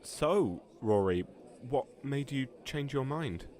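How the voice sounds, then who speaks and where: A man plays a concerned, supportive friend in an audio drama. His pitch is average for a man. He talks informally, as one mate to another. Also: lively intonation, slow, darkish tone. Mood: curious. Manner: informal, matey.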